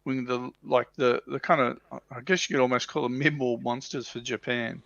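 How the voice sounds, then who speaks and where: Bright, forceful man on a dull, dry mic. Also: mid-range voice, fairly even intonation, normal speed, vocal fry, dry recording. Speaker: livestream co-host. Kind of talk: casual hobby chat about tabletop wargames.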